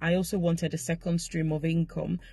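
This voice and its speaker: A woman from Nigeria giving a measured personal testimonial, pausing with hesitations. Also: fairly low pitch, level tone, gravelly, mellow, husky, no echo. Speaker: healthcare professional.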